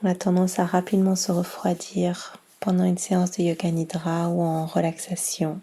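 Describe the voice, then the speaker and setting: A woman guiding a yoga relaxation session as instructor, speaking in a soft, breathy voice with calm, soothing instructions. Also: fairly low pitch. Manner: slow, calm, soothing instructions with long pauses. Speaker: yoga nidra instructor and guide. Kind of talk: guided relaxation meditation.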